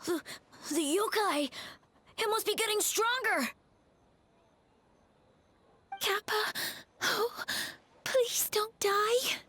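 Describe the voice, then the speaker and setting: A woman playing an excitable, easily frightened young girl in a video game, with breathless, exclaiming delivery. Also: very high voice, slow pace, exaggerated voice. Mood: panicked, pleading. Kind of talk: animated game voice acting. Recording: music behind the voice.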